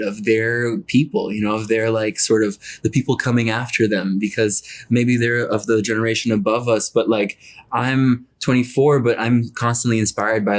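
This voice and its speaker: Young man from Brazil, rambling. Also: lowish voice. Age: 20s. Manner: rambling, heavy use of "like". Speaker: guest, model and actor. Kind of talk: casual interview chat.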